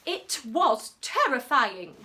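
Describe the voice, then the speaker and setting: A woman's voice: high-pitched, sing-song, slow pace, heavily acted. A woman voicing a frightened female elephant in a storybook tale. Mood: fearful.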